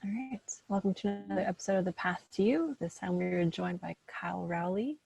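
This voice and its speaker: A woman hosting a podcast in a casual interviewing style, with a husky, creaky voice. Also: mid-range voice, fairly quick, darkish tone. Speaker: podcast host.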